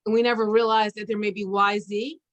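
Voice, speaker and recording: A woman hosting a conversational interview, chatty and halting as she searches for her words. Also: mid-range voice, flat delivery. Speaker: interview host. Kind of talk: conversational interview with an informal guest introduction.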